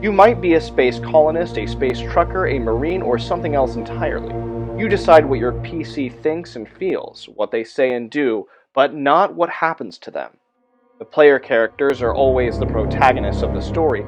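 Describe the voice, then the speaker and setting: Male game master, medium-pitched, measured storyteller read of tabletop game material with commentary, clear music bed underneath. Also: animated. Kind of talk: reading aloud scripted game text with commentary. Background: music behind the voice.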